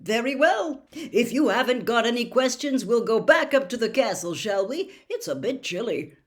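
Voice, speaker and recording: A woman's voice: on the high side, very animated, normal speed, pitched above the performer's own voice. Here is a bouncy, jovial official from a storybook , voiced by a woman reading aloud. He is hearty and chummy, with a raised, swooping delivery. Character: cheerful, bouncy, jovial adult man, eager contest official.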